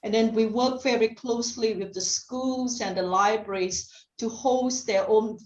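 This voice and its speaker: A woman's voice: on the high side, unhurried, distant mic. Woman from Singapore, hesitant, lots of fillers, roomy echo, dull lo-fi sound. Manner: hesitant, many fillers and restarts. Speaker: submitter at a council hearing. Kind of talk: oral submission, unscripted. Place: remote, speaking via video call. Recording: echoey, dull video-call audio.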